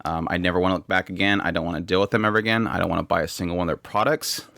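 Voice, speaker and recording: Fed-up male vlogger, very low, dry close sound, blunt rant. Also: fairly even intonation, racing, vocal fry, fairly bright tone, no echo. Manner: fed up, blunt, repeats himself. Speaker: video creator unboxing a product. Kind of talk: ranting to camera.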